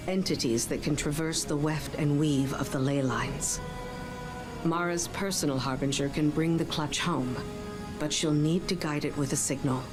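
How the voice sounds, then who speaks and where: An actress playing a composed, practical mission advisor in a video game, giving a measured briefing. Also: female voice, deep voice. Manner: measured, explanatory.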